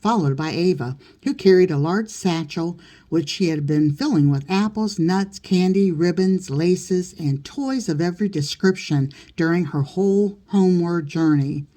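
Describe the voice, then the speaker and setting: A woman narrates a literary audiobook. Her voice sits low for a woman, with a dark, relaxed tone suited to reading prose aloud. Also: a little slow. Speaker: audiobook reader.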